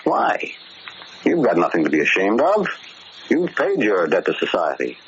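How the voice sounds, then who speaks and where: A man's voice: low voice, wildly swooping pitch, normal speed. An actor in a 1940s radio drama playing a paternal, exasperated prison warden, earnest and insistent in his questioning. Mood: reproving, worried. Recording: muffled, hissy vintage radio broadcast recording.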